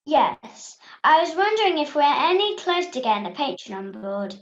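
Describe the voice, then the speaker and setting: Muffled, high, officious puppet played by a woman. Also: very animated, normal speed. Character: officious puppet committee member chasing up tasks. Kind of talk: amateur youth puppet show acting. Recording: dull video-call audio.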